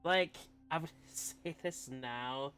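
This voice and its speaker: A man's voice: on the high side, slow, bright, forceful tone, smooth, soft background music. A man streaming a video game, giving casual commentary in short, trailing reactions.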